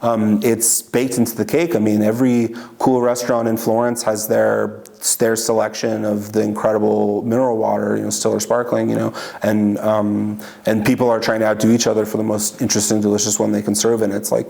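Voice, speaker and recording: Guy rambling thru an interview, lots of ums, kinda low and gravelly. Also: monotone, brisk, hardly any pauses, warm tone. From Washington state. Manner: rambling, many fillers and restarts. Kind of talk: casual oral history interview.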